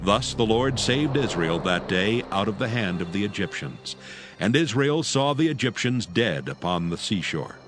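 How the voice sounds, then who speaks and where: A man narrating a dramatized Bible in a solemn, measured, scripted style. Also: fairly low pitch, sing-song. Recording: loud music under the narration. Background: loud music.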